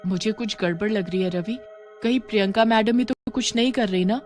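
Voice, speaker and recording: Woman doing a high-voiced, questioning schoolgirl. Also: brisk, darkish tone, faint music behind, lightly acted. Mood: uneasy. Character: young schoolgirl, puzzled and uneasy.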